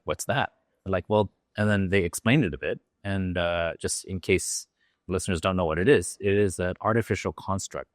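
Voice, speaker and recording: Podcast guest: Japanese man, learned American accent, very low pitch, chatty, dry sound. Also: fairly bright tone, no echo. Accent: American, learned from an acting coach. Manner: loose and talkative, fillers and restarts. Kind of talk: podcast interview.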